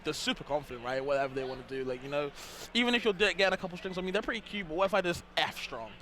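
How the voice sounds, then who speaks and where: A man's voice: a little high, lively intonation, racing, sharp tone. A man commentating an esports tournament, laid-back and slangy, laughing often between remarks. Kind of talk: casual commentary chat between matches.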